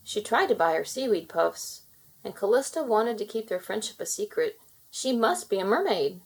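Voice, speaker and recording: A woman voicing a kind, caring young mermaid princess from a storybook, gentle and expressive. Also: medium pitch, normal speed, breathy edge. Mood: confident.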